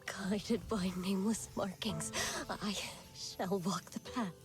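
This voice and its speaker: A woman's voice: medium pitch, steady tone, slow pace, close to an everyday voice. An actress playing an earnest, solemn young woman in a dramatic game style. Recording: game music under the voice.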